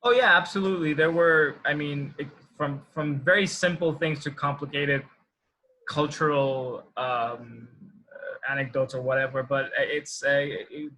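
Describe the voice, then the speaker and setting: Indian guy, 30s, kinda high bright voice, casual storytelling, stops and rewords a lot. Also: normal speed, poor audio. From Assam, India. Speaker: interview guest. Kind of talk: casual chat, anecdote telling.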